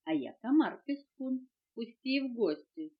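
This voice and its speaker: A woman voicing a tiny, boastful male mosquito in a children's tale with a thin, squeaky voice. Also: expressive, measured pace. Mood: eager. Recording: muffled home cassette recording.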